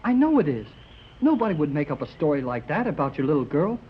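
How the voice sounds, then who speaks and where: A young-sounding actor playing an eager, earnest, boyish amateur radio operator in a 1930s radio serial. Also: male voice, fairly high pitch, quick pace. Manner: excitable, earnest, gee-whiz. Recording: dull, noisy 1930s radio recording.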